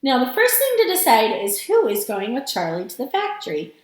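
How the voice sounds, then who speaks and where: A caring but anxious storybook mother, played by a woman reading aloud. She frets and coaxes in a high, lively swooping voice, with strong echo around it. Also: a little fast. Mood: calming, fretful. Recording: strongly echoing room.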